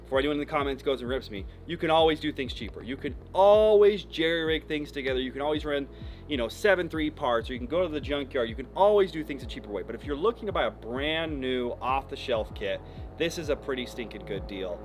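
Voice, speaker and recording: A man's voice: mid-range voice, lively intonation, fast, a little hiss or hum. An American man presenting a vehicle shop's video, giving a frank, informal, slangy product review in a casual style.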